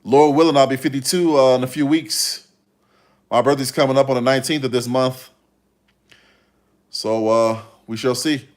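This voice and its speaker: A man's voice: mid-range voice, fairly even intonation, moderate pace, long pauses. Rambling man in his fifties, livestream chat. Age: 50s. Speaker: livestream host. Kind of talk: casual livestream chat replying to viewers.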